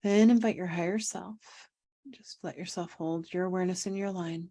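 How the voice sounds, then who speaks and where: A woman guides a meditation in a very even, almost level voice. Her tone is mellow and relaxed, sitting a little low. She gives calm, unhurried instructions, pausing often and repeating phrases. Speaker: meditation guide and energy coach.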